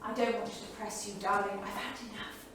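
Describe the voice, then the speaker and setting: An actress playing a restless, flirtatious 1930s bohemian wife in a theatrical staged reading, gushing and affected, with a brittle edge. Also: female voice, medium pitch, fairly even intonation, brisk, a bit bright, close to an everyday voice. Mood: brittle, weary. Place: small theatre studio, indoors. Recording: echoey theatre studio from far off, faint noise.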